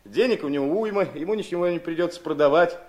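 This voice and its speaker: An actor playing a feckless, sly young son in a radio drama, eager to please and hesitant. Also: male voice, a little high, quick pace, a little mellow, lightly acted. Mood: sly, excited. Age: young adult. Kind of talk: theatrical radio drama acting.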